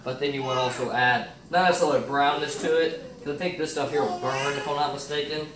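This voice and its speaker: A man's voice: on the high side, fairly even intonation, fast talker. Young guy rambling thru his meal prep, camera across an echoey kitchen. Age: young adult. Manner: rambling, thinks aloud with fillers. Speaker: fitness cooking vlogger. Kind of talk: casual cooking demo. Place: home kitchen, indoors. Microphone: far camera on a stand. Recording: echoey kitchen, far-off camera mic, noisy.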